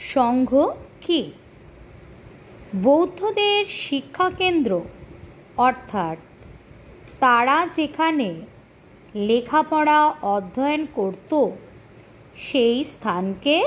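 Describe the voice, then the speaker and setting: A woman teaching a question-and-answer lesson, pausing deliberately between short phrases as if dictating to pupils. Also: sits high, a little hiss or hum. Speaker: teacher presenting a history lesson.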